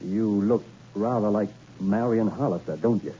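An actor playing a dogged, jittery investigator in a 1960s radio thriller, with terse, probing, halting speech. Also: male voice, lowish voice, mellow, close to an everyday voice. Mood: probing, stern. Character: dogged, jittery hard-boiled male investigator named Logan. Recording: dull, hissy old radio recording.

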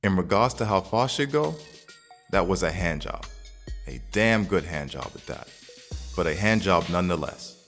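A man's voice: low voice, expressive, moderate pace. An actor playing a cocky yet caring young single man in an audio drama, slangy and swearing. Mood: candid narration. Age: young adult. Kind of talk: scripted audio drama acting and first-person narration. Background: background music.

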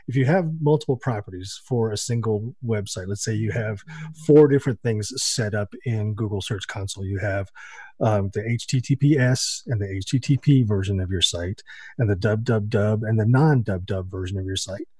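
Mellow-voiced American man co-hosting. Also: on the low side, breathy edge, dry recording. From United States. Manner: quick, explaining, restarts often. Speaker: podcast co-host. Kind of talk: casual radio show chat. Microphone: boom mic.

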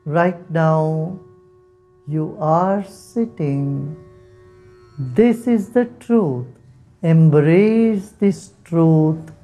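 A man leading a guided meditation, giving soft, calm instructions with long pauses. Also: on the high side. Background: soft instrumental music.

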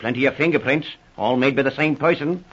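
Actor as stubborn blustering old-radio policeman, husky. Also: mid-range voice, brisk, close to an everyday voice. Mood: insistent. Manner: blunt, indignant, rustic ungrammatical speech. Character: stubborn, blustering policeman eager to charge the suspect. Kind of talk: 1940s radio drama acting. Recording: muffled, rough old radio recording.

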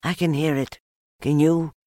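A woman voicing a small, timid young male piglet in a storybook, excitable and stammering in a breathless way. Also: low-pitched, measured pace, no echo, studio quality, voice pushed up, heavily acted. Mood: anxious.

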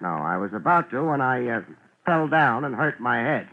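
An actor playing a wisecracking, world-weary private investigator, narrating and trading lines in a dry, laid-back deadpan in a hard-boiled radio drama. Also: male voice, mid-range voice, normal speed, creaky. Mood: wry, laid-back. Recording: muffled, noisy 1950s radio broadcast.

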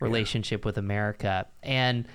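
A man's voice: lowish voice, fairly even intonation, fairly quick, a bit bright, clean tone. A man interviewing a guest, framing a long question in a hesitant way with many fillers.

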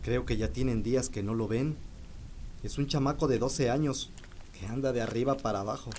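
A man's voice: medium pitch, brisk, mellow, a little hiss or hum, close to an everyday voice. An actor playing a village husband who talks to his wife and neighbors in a firm, strained way. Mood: calm.

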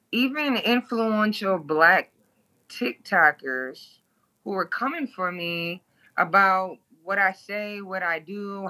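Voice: medium pitch, pushed and bright, low-quality recording. A guest from Toledo, Ohio, speaking bluntly and candidly in an interview, swearing freely.

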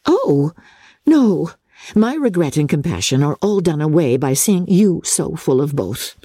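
A woman voicing a witty, candid, spirited young English gentlewoman in a novel reading, with a wry, teasing, articulate manner. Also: low voice, big pitch swings, a little slow, husky, no room sound, studio quality, full character voice. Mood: playful irony. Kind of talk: dramatic classic novel reading.

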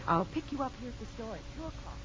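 An actress playing a brisk, reassuring, scheming friend in a 1950s radio drama. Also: female voice, a little high, big pitch swings, very noisy. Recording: muffled old radio, music behind.

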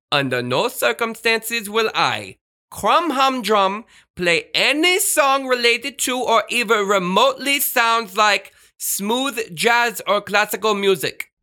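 An actor playing a flamboyant, fussy, excitable radio star with emphatic, theatrical delivery in a children's audio drama. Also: medium pitch, takes it slowly. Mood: bossy, emphatic.

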